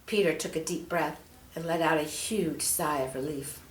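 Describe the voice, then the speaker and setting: A woman reading a children's story aloud expressively, measured and leaning on key words. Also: low voice. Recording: echoey room.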